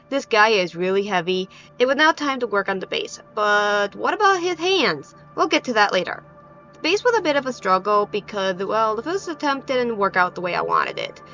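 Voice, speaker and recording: A woman's voice: medium pitch, fairly bright tone, muffled recording. A woman narrating her craft project in an informal, playful and self-deprecating voice-over, hesitant in places. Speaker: craft video creator. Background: background music.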